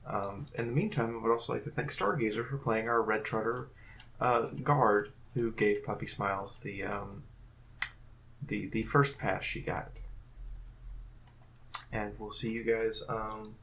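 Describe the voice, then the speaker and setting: A woman's voice: extremely low pitch, a little slow, a bit bright, a little hiss or hum. A woman hosting a fan audio drama, giving casual closing remarks in a rambling, hesitant way. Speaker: host and director.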